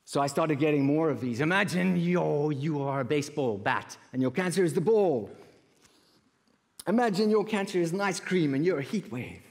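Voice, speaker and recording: A middle-aged man with a South African accent giving a stage talk, telling personal stories with pauses and repeated words. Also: a little high, animated. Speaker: conference speaker, creative entrepreneur. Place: large indoor auditorium stage. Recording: reverberant auditorium hall.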